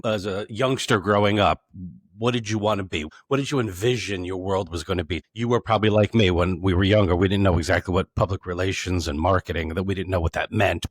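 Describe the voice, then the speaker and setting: A man's voice: lowish voice, no room sound, clean studio sound. A man hosting an interview, who rambles and stacks several questions together before handing over. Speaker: podcast host. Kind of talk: interviewer asking open questions.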